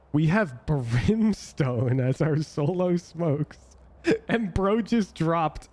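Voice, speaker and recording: Excitable man losing his voice. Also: on the high side. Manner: excitable, laughs and swears. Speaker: streamer reviewing gameplay. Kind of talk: casual gameplay commentary.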